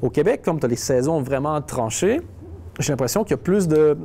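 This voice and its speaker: A man's voice: fairly high pitch, expressive, a little fast, mellow. A young man, chatting casually and wryly in an interview, often restarting his sentences. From Quebec. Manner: conversational, wry, restarts often.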